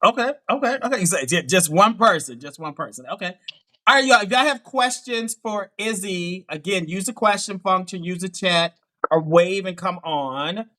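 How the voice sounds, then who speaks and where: A high, bright male voice with a Pittsburgh accent. He hosts a dating show and fires off quick, upbeat questions, backing them with steady affirmations. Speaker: dating coach host. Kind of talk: live dating show interview.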